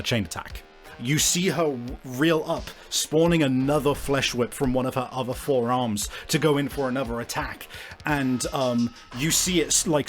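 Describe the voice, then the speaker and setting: A man improvising narration as a game master, descriptive and often searching for his words. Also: medium pitch. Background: faint music.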